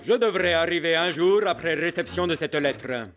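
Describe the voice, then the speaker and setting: A man's voice: a little high, moderate pace, fairly bright tone, close to an everyday voice. A man voicing a haughty, spiteful sorcerer in a French cartoon dub, pompous and theatrical, with music behind him. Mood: gloating, spiteful. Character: haughty spiteful male sorcerer dictating poison-pen letters. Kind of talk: French animated film dubbing.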